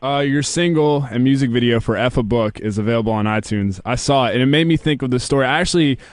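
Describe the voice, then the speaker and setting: A man's voice: mid-range voice, fast, no echo, studio quality. A man, co-hosting a casual interview in a conversational way. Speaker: interview co-host.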